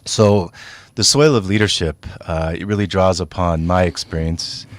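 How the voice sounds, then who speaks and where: A man speaking as an author guest on a radio interview, thoughtful and measured, with frequent pauses. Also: low-pitched, dry recording. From United States.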